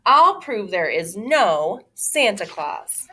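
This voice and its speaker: A smug young boy from a children's storybook, acted out by a woman reader. He lectures and scoffs with insistent scorn, in an animated, swooping voice. The delivery is slow and emphatic. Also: mid-range voice, a little hiss or hum, exaggerated voice. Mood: defiant. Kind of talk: children's storybook read-aloud.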